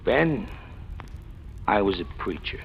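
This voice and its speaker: An actor playing a talkative, self-deprecating ex-convict in an old radio crime drama, with a gravelly, folksy, slangy delivery. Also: male voice, on the low side, big pitch swings, takes it slowly, heavily acted. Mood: confiding, amused. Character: talkative, self-deprecating ex-convict stick-up man, cheerfully fatalistic. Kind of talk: 1940s-50s radio crime drama acting. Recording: muffled, hissy old radio recording.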